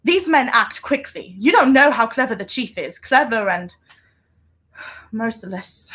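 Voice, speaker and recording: A woman playing a mysterious, hunted secret agent in a 1930s radio play, intense and secretive, with deliberate theatrical delivery. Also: on the high side, expressive, a bit bright. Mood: grave, warning.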